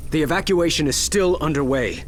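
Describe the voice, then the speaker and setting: A man's voice: on the high side, fairly quick, soft background music, close to an everyday voice. A man playing an earnest, worried young noble commander in a video game, formal and urgent. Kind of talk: dramatic video game acting.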